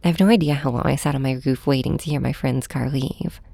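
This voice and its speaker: A woman playing a heartbroken young superhero in an intimate audio drama, speaking softly and haltingly with a breathy voice. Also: rumbling low, dry recording, full character voice. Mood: hurt, subdued. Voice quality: soft, breathy. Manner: hesitant, halting, hushed. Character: heartbroken young flying superhero confiding in and snapping at nemesis. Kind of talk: first-person audio drama narration, ASMR hybrid.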